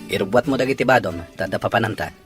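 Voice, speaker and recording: A man's voice: on the low side, quick pace, loud music behind the voice, lightly acted. An actor playing a worried father out of work in a radio drama. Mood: weary. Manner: earnest, worried. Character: struggling father out of work, weary.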